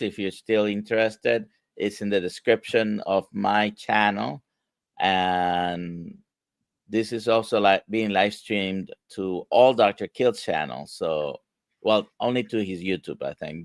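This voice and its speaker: A man, a physician answering interview questions in a measured way with many hesitations. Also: on the low side, smooth, dry recording. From Puerto Rico. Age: 60s. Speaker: physician guest.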